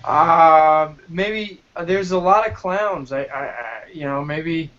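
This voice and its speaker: Guest: higher-pitched man from New Jersey, stop-start casual talk, muffled lo-fi audio. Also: fairly bright tone. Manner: halting, repeats words. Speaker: guest street performer. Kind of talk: casual chat. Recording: dull lo-fi call audio.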